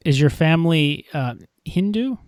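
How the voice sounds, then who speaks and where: A man's voice: on the high side, animated, normal speed, darkish tone, smooth, no echo, studio quality. A man hosting a podcast, asking questions in a conversational manner. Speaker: podcast host, interviewer. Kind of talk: casual interview questions.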